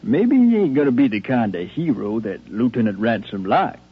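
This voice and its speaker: A man's voice: mid-range voice, sing-song, moderate pace, natural voice. A male actor playing an eager, cocky soldier with brash, informal phrasing in a 1950s radio drama style. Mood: eager, needling. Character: eager, cocky army soldier hungry for combat. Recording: narrow, faintly noisy old radio recording.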